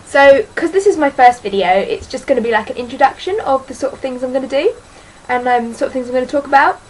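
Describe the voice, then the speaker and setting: Teen girl vlogging about books, bit high pitched, lots of ums and sort ofs, faint hiss behind. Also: expressive, quick pace, rough recording. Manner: rambling and hesitant, full of ums and sort-ofs; chatty and self-deprecating. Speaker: book vlogger. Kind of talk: casual vlog chat.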